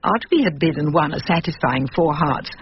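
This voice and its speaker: A woman's voice: sits low, expressive, brisk. A haughty older English barrister's wife in a radio play. She speaks precisely and bossily, as if used to being obeyed. The recording is dull, with a faint hiss. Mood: self-assured, superior. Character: imperious older barrister's wife, sharp and self-assured. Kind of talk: radio drama dialogue and narration.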